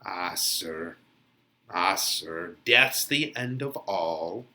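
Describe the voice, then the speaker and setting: Man putting on a woeful female nurse, slow lamenting. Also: deep voice, lively intonation, bright, forceful tone, pitched below the performer's own voice, full character voice. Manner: lamenting, melodramatic. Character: woeful, fretful female nurse bearing bad news. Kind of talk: dramatic Shakespeare reading.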